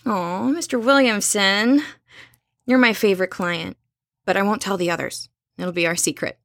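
A woman's voice: medium pitch, normal speed, a bit bright, no echo, clean studio sound, natural voice. An actress playing a caring, friendly young woman with a casual manner, in a naturalistic audio drama. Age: young adult. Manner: casual, considerate.

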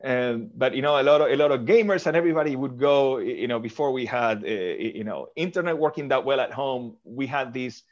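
A man's voice: mid-range voice, brisk, few pauses, lo-fi sound. A man from Argentina answering a podcast host's questions thoughtfully, often restarting and repeating words as he works out his point. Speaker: podcast guest. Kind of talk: interview answers.

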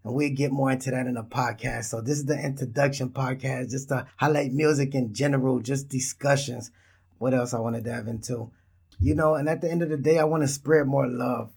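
A man's voice: mid-range voice, fairly even intonation, a little fast, creaky, darkish tone, slightly rough. A man in his thirties from Israel, hosting his own podcast in a laid-back, rambling monologue that talks straight to listeners. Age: 30s. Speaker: podcast host. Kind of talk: casual solo podcast monologue.

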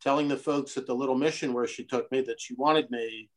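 A middle-aged man from Brazil, a guest on a podcast, answering in an earnest, measured way. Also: medium pitch, fairly even intonation, low-quality recording. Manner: earnest, measured, speaks of his convictions. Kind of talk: conversational interview.

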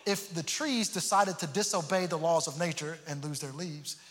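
A man's voice: on the high side, normal speed, fairly bright tone, a little hiss or hum. A man from Houston preaching a sermon, earnest and prone to repeating words as he starts a thought. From Houston, Texas. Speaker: pastor. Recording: long hall reverb.

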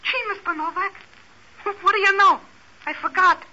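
Squeaky, gushing little punk. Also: fairly even intonation, moderate pace, pushed and bright, heavily acted. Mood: gushing, befuddled. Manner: slangy, gushing, naive. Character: eager, dim-witted punk named Pinky, squeaky telephone caller. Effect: telephone filter. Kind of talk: 1940s radio acting. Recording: noisy old radio, tinny phone voice.

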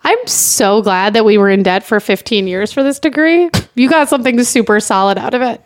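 A woman with a high voice joins in casual, comedic podcast chat as a guest. She is blunt and sardonic, tossing in remarks and questions conversationally. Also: a little fast.

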